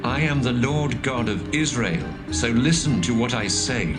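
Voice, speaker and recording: A solemn male prophet delivers his message over loud background music in a dramatised audio Bible, voiced by a man. The voice sits at a middle pitch and carries the weight of a proclamation. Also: a little slow, natural voice.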